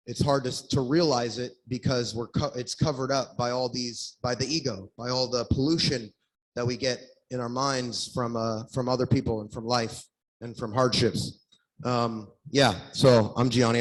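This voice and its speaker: A man's voice: medium pitch, low-quality recording. A man giving an informal personal testimony to a group, feeling his way through it in a hesitant, reflective manner.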